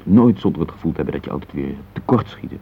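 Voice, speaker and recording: A man's voice: extremely low pitch, animated, fast talker, creaky, husky, exaggerated voice. An actor playing a reflective, tender middle-aged husband in a radio play, hesitant and searching for words as he speaks. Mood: earnest, gentle. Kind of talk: intimate radio play dialogue. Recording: muffled phone-like old radio recording, hissy.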